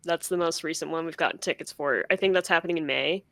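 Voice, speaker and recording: A woman's voice: sits low, quick pace, gravelly, a bit bright, no room sound. A woman co-hosting a podcast, chatting playfully and acting out little quotes. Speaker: podcast co-host. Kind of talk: casual chat.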